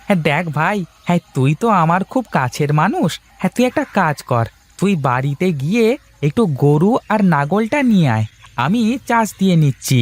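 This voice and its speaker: A man's voice: high voice, sing-song, brisk. A man playing a wheedling village farmer who pleads for help, in a cartoon. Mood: pleading. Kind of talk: Bengali moral cartoon dubbing.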